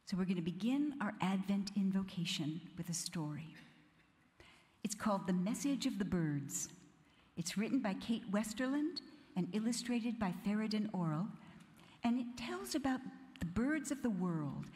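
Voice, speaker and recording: A woman's voice: mid-range voice, animated, moderate pace, a little mellow. Woman leading prayer in an echoey hall. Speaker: liturgy leader. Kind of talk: welcoming and leading prayer at a church liturgy. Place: large church space. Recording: echoey hall with long reverb.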